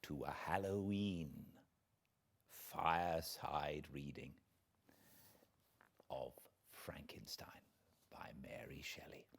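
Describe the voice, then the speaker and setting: British guy narrating an old classic, really deep voice, slow and dramatic, theatrical storyteller delivery. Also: vocal fry. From Britain. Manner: measured, theatrical delivery with hushed passages and swelling emphasis. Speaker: audiobook narrator reading aloud.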